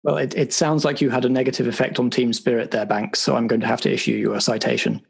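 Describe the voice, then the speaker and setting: A man's voice: medium pitch, flat delivery, fast, vocal fry, slightly rough. A man playing a stern, bureaucratic spy boss chairing a debriefing in an improvised audio roleplay. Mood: probing, formal. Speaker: game master.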